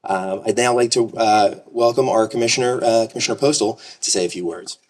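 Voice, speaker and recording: An earnest man introduces speakers at an outdoor ceremony, now and then tripping over a word. His voice is very deep. The mic catches him from some distance. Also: fairly quick. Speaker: master of ceremonies. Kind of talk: public speech at outdoor ceremony. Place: outdoors at a courthouse.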